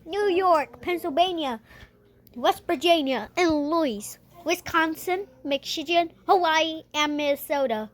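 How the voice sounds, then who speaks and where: Very high-pitched, slow female announcer. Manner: halting, repetitive announcing with broken phrasing. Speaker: narrator of an amateur mock emergency broadcast. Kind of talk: scripted mock emergency alert announcement.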